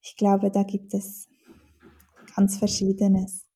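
Slow, mellow Swiss woman. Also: mid-range voice, completely flat delivery, breathy edge. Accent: Swiss German. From German-speaking Switzerland. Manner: thoughtful, many fillers and restarts. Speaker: Swiss German teacher, guest. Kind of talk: casual interview answers.

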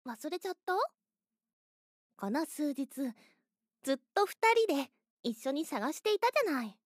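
A woman's voice: high voice, very animated, moderate pace, darkish tone, dry recording, clean studio sound. A woman playing an obsessive young woman in an audio drama, sweetly menacing and possessively loving. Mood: menacing, teasing.

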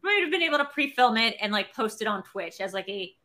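A young adult woman co-hosts a loose, casual film chat. She rambles along with a lot of "like", and her voice is bright and forward. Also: on the high side, lively intonation, fast talker. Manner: rambling, heavy use of "like". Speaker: podcast and stream co-host.

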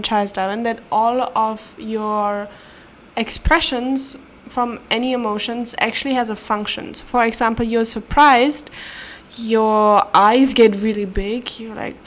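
A young woman with a German accent hosting a casual solo podcast, chatty and playful, giggling through her asides. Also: on the high side. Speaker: podcast host. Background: faint room ambience, cat nearby.

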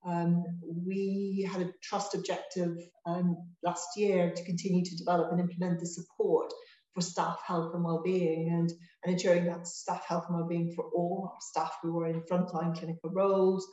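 A woman from Ireland, a health service director talking as a guest in an interview, measured and often restarting her phrases. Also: fairly low pitch, flat delivery, dark tone, roomy sound, lo-fi sound. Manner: measured, with frequent restarts and fillers. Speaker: NHS trust managing director, guest. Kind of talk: interview conversation.

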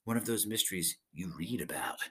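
A man reading aloud voices a middle-aged rocket captain who muses in a low, quiet voice. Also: big pitch swings, normal speed, full character voice. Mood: musing.

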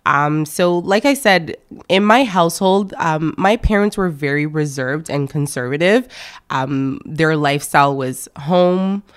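Low, smooth-voiced woman guest. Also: unhurried. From born in France, raised in Canada. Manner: warm storytelling with fillers. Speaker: guest, event planner. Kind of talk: casual interview talk.

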